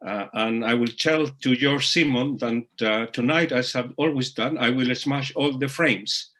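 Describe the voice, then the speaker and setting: Bright-voiced man, halting and deliberate. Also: medium pitch. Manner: hesitant, many fillers, slow deliberate phrasing. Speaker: webinar co-presenter. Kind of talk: online webinar introduction. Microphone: video call.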